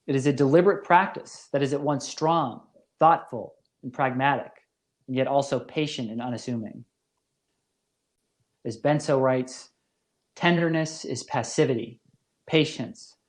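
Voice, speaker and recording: A man narrates a scripted lecture in the manner of a professor. His voice is mid-pitched, and his phrasing is formal and deliberate, with careful emphasis on key words.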